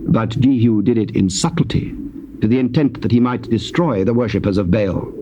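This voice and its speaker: A man narrating dramatized scripture in a solemn, measured storytelling manner. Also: on the low side. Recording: dull, noisy old cassette tape.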